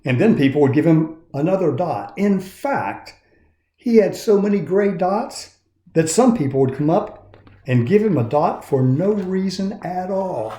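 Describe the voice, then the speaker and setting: A man in his sixties reading a children's story aloud in an expressive storytelling style. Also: high-pitched, measured pace. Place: indoors in a woodworking shop. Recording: roomy, echoey sound.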